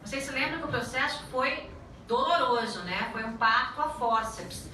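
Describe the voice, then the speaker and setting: A woman from Ponta Grossa in Brazil, speaking informally and lively at a public meeting. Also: sits high, normal speed, slight background noise. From Ponta Grossa, Paraná, Brazil. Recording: echoey room from far off.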